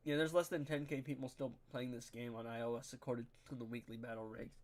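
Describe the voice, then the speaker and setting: A man's voice: medium pitch, level tone, fast. A young man with a nasal, congested voice, chatting casually to viewers while he streams a game and talking straight to his chat in slang. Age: young adult. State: stuffy, congested nose. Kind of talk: casual live-stream gameplay commentary.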